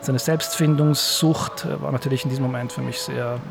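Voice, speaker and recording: A man speaking casually and reflectively as an interviewed professor, halting and repeating words as he thinks aloud. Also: mid-range voice, steady tone, creaky. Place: university office.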